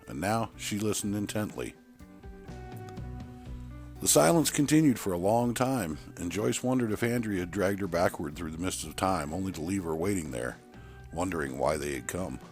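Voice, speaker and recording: A man with a very low voice narrates fiction in a dramatic storytelling style. His pitch moves around a great deal as he reads, and music plays faintly behind him. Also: expressive, normal speed, darkish tone.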